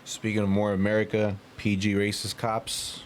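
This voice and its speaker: Brief: male podcast sidekick, low register, laid-out short agreements and asides, casual, faint room noise. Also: monotone, moderate pace.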